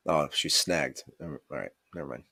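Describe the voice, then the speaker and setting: A man in his forties hosts a fishing show stream, talking in a loose, rambling way and laughing easily. His voice sits very low. The sound is dry and close. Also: fairly quick, vocal fry, sharp tone, no room sound. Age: 40s. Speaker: league show host. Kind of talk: casual live-stream chat.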